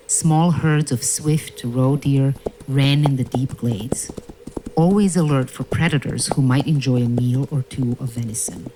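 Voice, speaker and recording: A woman narrating a fairy-tale audio play in a measured, solemn storytelling manner. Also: rumbling low, noisy background. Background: music.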